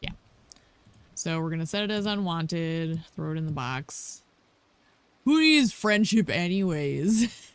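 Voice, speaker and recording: Female game streamer, croaky, casual and rambling, dry close sound. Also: fairly low pitch, no echo. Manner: thinking aloud, many ums, chatty asides to herself. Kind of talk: casual gameplay commentary.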